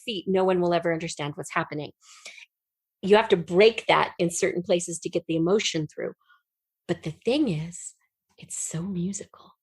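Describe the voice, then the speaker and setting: A middle-aged woman telling personal stories as an interview guest, in an expressive way that acts out remembered dialogue. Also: lowish voice, no echo. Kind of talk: personal storytelling in conversation.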